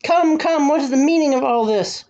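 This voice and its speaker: A woman's voice: sits high, normal speed, mellow, dull audio, pitched above the performer's own voice. A woman voicing a pompous, angry, commanding storybook king. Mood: furious. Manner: imperious, demanding. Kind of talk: storybook character acting.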